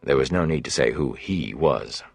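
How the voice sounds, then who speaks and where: A man with a very low voice narrates a fantasy novel. His reading is dramatic and scripted, captured close up with a very dry sound. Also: expressive, normal speed, creaky, no room sound, soft background music. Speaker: audiobook narrator.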